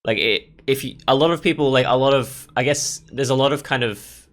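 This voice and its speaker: A man giving casual let's play commentary as a gaming host, rambling and halting as he thinks aloud. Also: medium pitch, fairly bright tone.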